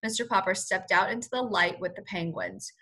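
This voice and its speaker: A woman's voice: mid-range voice, fairly quick, pushed and bright, breathy edge. A woman teacher reading a children's story aloud expressively, stopping to explain words in simple terms. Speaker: first-grade teacher.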